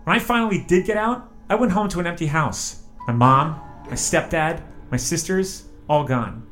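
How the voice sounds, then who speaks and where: A man's voice: a little high, normal speed, a bit bright, faint music behind, natural voice. A man playing a wary, grieving former test subject with psychic visions in an audio drama, speaking in an earnest, defensive way. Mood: grieving, urgent.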